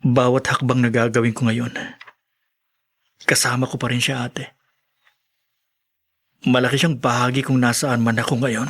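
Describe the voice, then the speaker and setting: A man's voice: mid-range voice, level tone, moderate pace, long pauses, fairly bright tone. An actor playing a sincere, affectionate young Filipino man in a radio drama. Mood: reflective, sorrowful. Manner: sincere, heartfelt.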